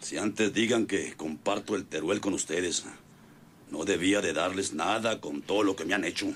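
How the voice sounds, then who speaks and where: An actor playing a greedy, boastful, bossy Mexican ranch gang boss in a rural radio soap, blustering and groaning over a bad leg. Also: male voice, sits low, normal speed, lightly acted. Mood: gruff, possessive. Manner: blustering, scolding, groaning with pain. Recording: noisy old radio drama recording.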